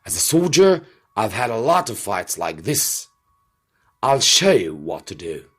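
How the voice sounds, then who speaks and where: A man voicing a determined soldier in a storybook reading, forceful, urgent and persuasive. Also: lowish voice, very animated, a little slow, breathy edge, exaggerated voice. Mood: commanding. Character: commanding, determined male soldier urging a plan.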